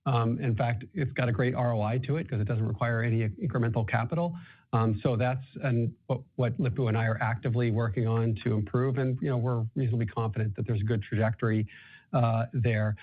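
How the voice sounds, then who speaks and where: Guy doing a corporate earnings call as finance exec, thin phone-like sound, middle pitch. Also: fairly even intonation, fairly quick. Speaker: company finance executive. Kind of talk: scripted corporate earnings presentation, then answering questions. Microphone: conference call line. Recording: thin narrow phone-like conference line.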